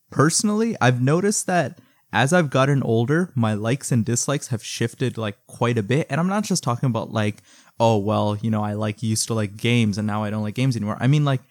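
A young man in his twenties hosts a podcast with a dry, teasing wit. His voice sits at a middle pitch and sounds close and dry on the mic. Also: a little mellow, dry recording. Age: 20s. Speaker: podcast host. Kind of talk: casual chat.

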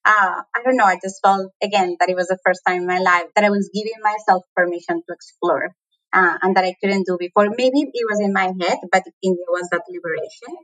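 A woman's voice: medium pitch, fairly even intonation, brisk, fairly bright tone, low-quality recording. A woman from Colombia with a Colombian accent, a native Spanish speaker, talking reflectively as an interview guest and laughing often. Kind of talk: conversational interview.

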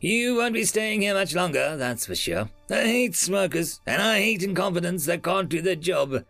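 A man's voice: high voice, expressive, unhurried, vocal fry, fairly bright tone, faint music behind, voice pushed up, heavily acted. A man voicing a smug, acid-tongued diplomatic attaché who sneers and threatens in an audiobook. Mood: acidly contemptuous. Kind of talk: audiobook character dialogue.

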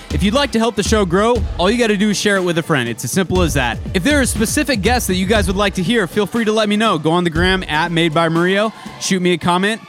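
An upbeat male podcast host with a fairly high voice, chatting casually with his guest. He throws in slang and keeps the talk loose and friendly, with faint music under him. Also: fairly bright tone. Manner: upbeat, chatty, uses slang like bro and dude.

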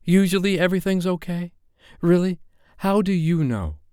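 A man's voice: high-pitched, animated, normal speed, darkish tone, clear, resonant tone, no echo, clean studio sound, voice pushed up. A man voicing a tense, defensive young woman who fires off clipped, suspicious questions.

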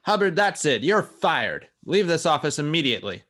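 A man's voice: a little high, big pitch swings, normal speed, a bit bright. Man playing an exasperated, bossy office boss. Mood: indignant. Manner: indignant, scolding. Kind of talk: improv podcast radio play acting.

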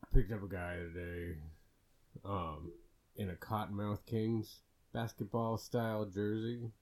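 A laid-back man with a fairly low voice chats casually and peppers his talk with swearing. His tone stays relaxed and easygoing. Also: a little slow. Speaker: podcast co-host. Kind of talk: casual chat.

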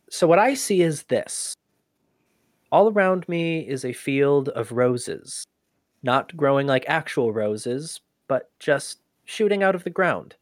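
An actor playing an inquisitive human archivist in an audio drama, with a conversational, halting, often exasperated manner. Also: mid-range voice, dry recording, studio quality. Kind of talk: scripted audio drama acting.

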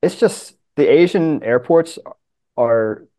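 A man's voice: on the high side, animated, slow pace, mellow. A man chatting casually as a guest on a podcast. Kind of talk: casual chat.

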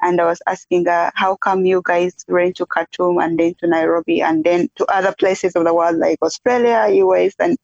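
Young woman guest, measured, soft, flat delivery, muffled dry line. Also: fairly low pitch, gravelly, dry recording. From South Sudan, raised in Uganda. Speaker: podcast guest, peace activist. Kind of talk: interview.

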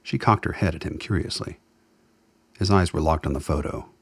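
Very deep, gravelly male narrator. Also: normal speed. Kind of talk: scripted literary narration for a radio play.

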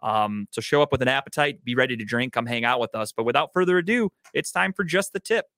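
A man with an American accent hosts a casual sports talk show. His delivery is blunt and sweary, at a medium pitch, in a dry recording with very little room sound. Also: animated, fast, a bit bright, dry recording. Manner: blunt, profane. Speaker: show host.

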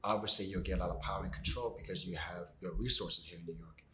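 Guy talking quick n rambly, kinda low voice, roomy echo, keeps saying you know what i'm saying. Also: steady tone, a bit bright, slight background noise. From born in Argentina, raised in Maryland. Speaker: guest artist. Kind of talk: casual podcast chat.

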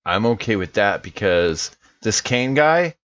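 A man's voice: lowish voice, big pitch swings, measured pace, a bit bright, no echo. A man chatting casually as a player in a tabletop roleplaying game, on slightly dull audio.

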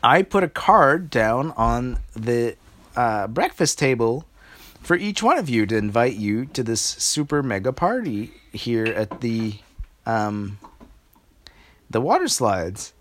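A man's voice: mid-range voice, sing-song, slow pace, fairly bright tone, exaggerated voice. A man voicing a cheerful little boy prince.

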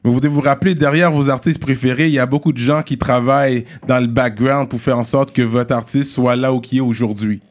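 A man's voice: mid-range voice, brisk, hardly any pauses. Montreal-accented male host, loose and slangy, hops between French and English. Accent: Montreal Quebec French. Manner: energetic, slangy, code-switching with English. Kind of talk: casual interview hosting.

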